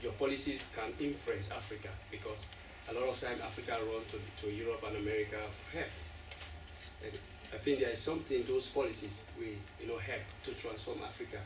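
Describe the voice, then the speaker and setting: A man on a panel making a halting appeal to students. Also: mid-range voice. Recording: echoey hall from far off, noisy.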